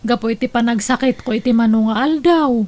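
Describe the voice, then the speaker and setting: A woman's voice: a little high, fairly quick, a little mellow, natural voice. A woman playing a young village daughter in an audio drama, speaking with an Ilocano Filipino accent. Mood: downcast. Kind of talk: melodramatic radio-style drama acting.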